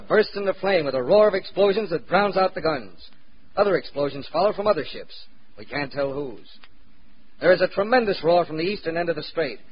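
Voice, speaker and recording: A man's voice: on the high side, lightly acted. An actor playing a wry, plucky American war correspondent, narrating his experiences in a dramatic 1940s radio style. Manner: dramatic, measured, wry understatement. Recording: muffled, noisy 1940s radio recording.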